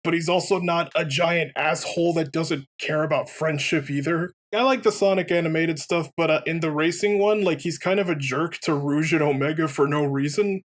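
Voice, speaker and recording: Higher-voiced man, casual and opinionated. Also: normal speed, few pauses. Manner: animated, rambling, sweary. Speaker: let's player commentator. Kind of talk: casual opinionated game commentary chat.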